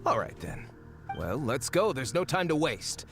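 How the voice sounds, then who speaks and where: A man's voice: on the high side, sing-song, measured pace, faint music behind. A man playing a sly, self-important foreign archaeologist in an anime game, slick, theatrical and condescending. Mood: intrigued, scheming.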